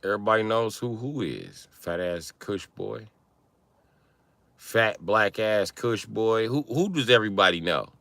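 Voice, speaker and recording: A man hosting a casual livestream, teasing and swearing as he banters with viewers in an animated, exaggerated way. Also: measured pace, creaky, dry recording. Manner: teasing, profane mockery; animated, breaks into high-pitched exaggerated mimicry. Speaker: livestream host chatting with viewers.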